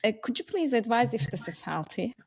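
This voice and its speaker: A woman's voice: a little high, sing-song, fairly quick, slightly rough. A woman from Turkey with a Turkish accent, hosting a podcast in a conversational interview style. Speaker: podcast host.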